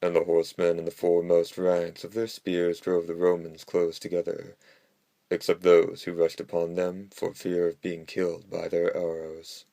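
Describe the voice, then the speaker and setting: A man reading classical history aloud as scripted narration, in a measured monotone. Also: sits low, dry recording. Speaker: audiobook narrator.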